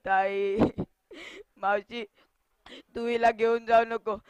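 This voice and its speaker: A bereft younger brother played by a woman pleads tearfully in a high voice. The delivery is slow and drawn out, a melodramatic stage monologue full of grief, heard dry and close. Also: level tone, clear, resonant tone, dry recording, muffled recording. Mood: desperate grief. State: crying. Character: grieving orphaned younger brother pleading over dead sister.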